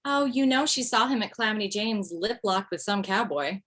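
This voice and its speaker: A woman's voice: mid-range voice, expressive, a little fast, fairly bright tone. A woman playing a wry, blunt mother in a comedy script table read. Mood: biting, wry. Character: wry, blunt widowed mother of two, former standup comic. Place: remote video call.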